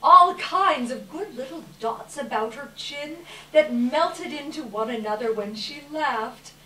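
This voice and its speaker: A woman narrating a story at a live readers theater, with deliberate, emphatic storytelling phrasing. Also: sits high, animated, bright, forceful tone. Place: live reading in a roomy hall. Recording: roomy, far from mic, faint noise.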